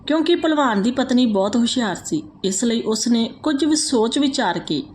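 A woman reading out a moral folk tale as a storyteller, in a steady, even manner. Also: on the high side, normal speed, a little hiss or hum.

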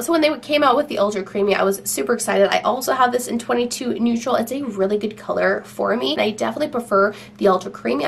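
A woman's voice: mid-range voice, creaky. Young woman doing a makeup collection vlog at home, a bit stuffy from a cold, chatty and upbeat, faint hum behind. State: has a cold. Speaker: beauty vlogger. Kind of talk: casual product review to camera. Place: home room with shelves.

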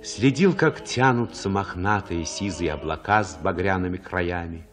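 An actor narrating boyhood memories in a radio drama as a reflective man, husky and expressive, with literary phrasing. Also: low voice, normal speed, soft background music, lightly acted. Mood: reflective, tender.

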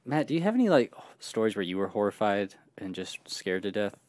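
Lively, swooping male voice in casual chat. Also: lowish voice, brisk, no echo. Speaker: podcast co-host.

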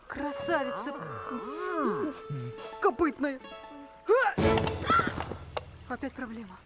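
A woman voicing a lovelorn, self-doubting female horse in an animated film, talking to herself dramatically. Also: high-pitched, extremely slow, heavily acted. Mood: self-mocking. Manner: dramatic self-talk, exclamatory. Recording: loud film music behind voice.

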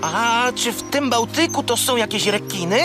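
A man voicing a timid, curious little pouched animal with an anxious, squeaky manner in a children's audio drama. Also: animated, moderate pace, over music. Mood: nervous curiosity.

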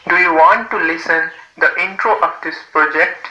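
Bright, crisp narrating man's voice. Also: fairly high pitch, lo-fi sound. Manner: measured, instructional reading. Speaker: device voice prompts and narration. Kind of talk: scripted technical narration.

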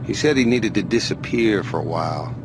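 An actor playing a sly, smug outlaw racer in a video game, goading with mocking theatrical pauses. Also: male voice, fairly low pitch, lively intonation. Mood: confiding. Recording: music behind the dialogue.

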